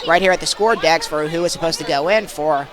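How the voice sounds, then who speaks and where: Young male sportscaster, high-pitched, excitable live play-by-play delivery over a noisy gym crowd. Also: a little fast. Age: young adult. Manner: excitable, projecting over the action. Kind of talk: live volleyball play-by-play commentary. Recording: crowd and gym noise behind.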